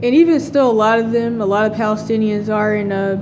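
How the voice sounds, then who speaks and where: A woman speaks at a middle pitch in a loose, rambling monologue, full of fillers and restarts. Clear vehicle noise runs under her voice throughout. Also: fairly quick. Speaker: vlogger. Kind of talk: casual monologue.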